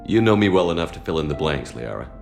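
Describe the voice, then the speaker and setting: A man playing a steady, loyal soldier in command in a sci-fi video game, terse and calmly assured. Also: very deep voice, fast talker, vocal fry, over music, heavily acted. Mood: calm, friendly. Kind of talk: dramatic video game acting.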